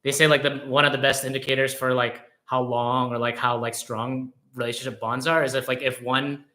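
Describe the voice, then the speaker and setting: A man chatting casually as a travel vlogger on a live stream, halting and searching for words as he explains. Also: medium pitch, flat delivery, sharp tone. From born in the Philippines, grew up in Canada from age six. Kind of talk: casual chat, answering viewer questions.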